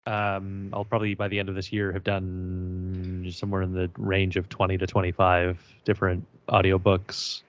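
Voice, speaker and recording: A man with a low voice, a voiceover artist chatting as a podcast guest in an easygoing, joking way. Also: monotone, moderate pace, no echo, lo-fi sound. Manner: easygoing, joking, chuckles often. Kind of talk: casual podcast chat.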